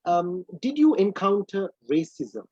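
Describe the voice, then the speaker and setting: A man hosting an online talk, speaking at length with many filler pauses. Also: high-pitched, sing-song, mellow, husky, no echo. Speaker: host. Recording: dry, muffled lo-fi audio.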